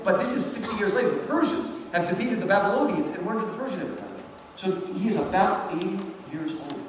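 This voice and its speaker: A man's voice: high-pitched, expressive, fast. A man in his fifties giving an emphatic public lecture, putting questions to his listeners and checking that they follow. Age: 50s. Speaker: lecturer, professor. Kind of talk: animated public lecture commentary. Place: large indoor hall. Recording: echoey hall, distant mic, background noise.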